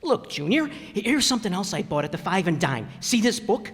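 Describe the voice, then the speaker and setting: A man's voice: sits high, sing-song, brisk, a bit bright, breathy edge, exaggerated voice. A man playing a blustering, good-natured working-class father in an old-time radio comedy, folksy and ungrammatical. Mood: eager. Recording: reverberant hall stage recording.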